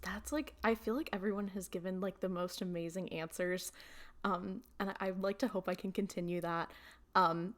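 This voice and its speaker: A woman's voice: medium pitch, steady tone, normal speed. A woman chatting casually as a podcast panelist in an informal, slangy way.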